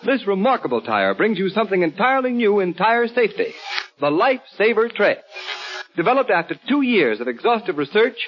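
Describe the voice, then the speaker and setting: An American man announcing from a script in emphatic, dramatic 1930s radio style. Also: high voice. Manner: dramatic, emphatic salesmanlike delivery. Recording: muffled 1930s radio broadcast recording.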